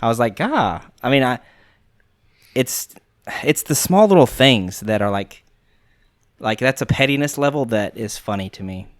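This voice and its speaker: A man's voice: medium pitch, lively intonation, moderate pace, no room sound, clean studio sound. A man, chatting casually as a podcast host, rambling and teasing. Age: late 20s. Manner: rambling, teasing, trails off mid-sentence. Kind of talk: casual chat.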